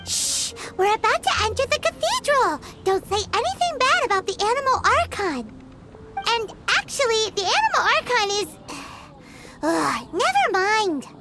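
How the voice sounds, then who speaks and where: A woman voicing a small, childlike, excitable fairy companion in an anime video game, chatty, cutesy and expressive. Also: extremely high pitch, unhurried, exaggerated voice. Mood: flustered, scolding. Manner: exclaiming, expressive, cutesy, speaks of self in third person. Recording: music behind the voice.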